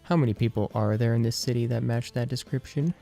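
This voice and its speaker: Man voicing a cocky, teasing young student, pitched up. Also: fairly quick, vocal fry, warm tone, faint music behind, natural voice. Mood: mocking. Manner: mocking, jocular banter.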